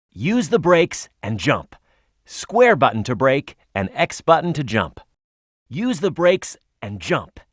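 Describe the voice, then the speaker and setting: Bold high-pitched cartoon fighter. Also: male voice, fairly bright tone, dry recording, clean studio sound, exaggerated voice. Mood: instructing. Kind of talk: scripted video game character voice acting.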